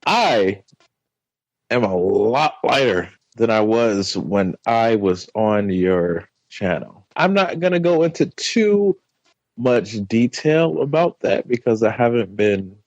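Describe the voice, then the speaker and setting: A man talking casually as a podcast guest, playful and relaxed. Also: slow, dry recording, lo-fi sound. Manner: playful, jumps into a high hype voice at times. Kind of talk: casual conversation.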